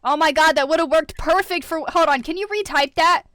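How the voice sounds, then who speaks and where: A woman's voice: very high voice, a little fast, a bit bright. A young woman hosting a roleplay game video, chatting casually, rambling with fillers and giggling. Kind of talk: casual chat while gaming.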